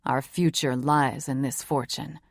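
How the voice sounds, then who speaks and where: Woman doing a teasing young boyfriend, low voice, dry close sound. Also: steady tone, measured pace, gravelly, no echo, full character voice. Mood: earnest. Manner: teasing, earnest, swears casually. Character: young man, playful devoted boyfriend. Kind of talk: audiobook character voice.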